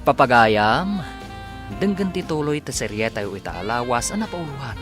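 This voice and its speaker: A man's voice: mid-range voice, big pitch swings, moderate pace. A man with a Filipino accent delivering dramatic scripted narration as the announcer of a radio drama. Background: music bed.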